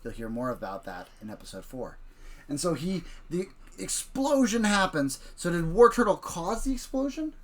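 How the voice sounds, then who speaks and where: Woman host, deep voice, easygoing, guides a child's story with questions. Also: big pitch swings, moderate pace. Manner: prompting, questioning, recapping the child's ideas. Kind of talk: casual livestream storytelling.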